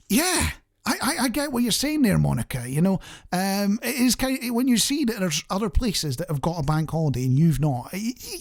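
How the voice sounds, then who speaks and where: British man, chatty, lively radio host. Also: high-pitched. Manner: rambling, self-deprecating, conversational. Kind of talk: casual chatty radio presenting.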